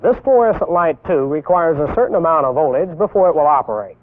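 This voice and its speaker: A young American man giving scripted instructional narration as a military instructor, deliberate and didactic, posing rhetorical questions. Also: fairly high pitch, expressive. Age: young adult. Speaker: military instructor presenting a training film. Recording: tinny band-limited 1960s film soundtrack.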